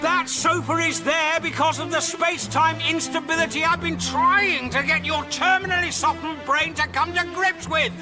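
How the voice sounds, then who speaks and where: A man acting an exasperated, excitable galactic traveller and guidebook researcher in a radio comedy drama, wry and impatient. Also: very high voice, normal speed, hardly any pauses, music in the background. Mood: urgent, excited.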